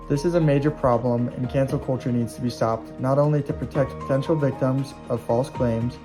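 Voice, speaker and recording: Man narrating over guitar music. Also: medium pitch. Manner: earnest, reads from a script. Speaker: student narrator. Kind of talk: scripted documentary narration.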